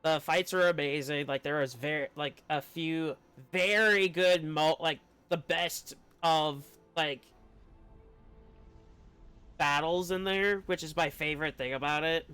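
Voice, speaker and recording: A man chatting casually on a game livestream, rambling and halting as he talks. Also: a little high, sharp tone, clear, resonant tone, no room sound. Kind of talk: casual chat while streaming a game. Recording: game music behind the voice. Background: video game music.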